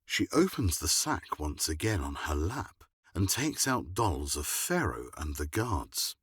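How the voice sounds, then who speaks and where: A man with a husky voice reading scripted narration for a radio drama. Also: low voice, very animated, a little slow, fairly bright tone, dry recording, clean studio sound.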